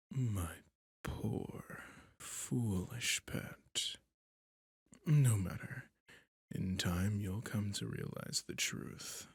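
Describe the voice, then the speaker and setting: Possessive vampire lord voiced by a man, low husky rasp, slow purring menace, close dry mic. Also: dry recording, full character voice. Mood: coldly cruel. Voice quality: husky, gravelly, often whispered. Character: possessive sadistic male vampire master, cruelly seductive. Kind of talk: ASMR roleplay audio.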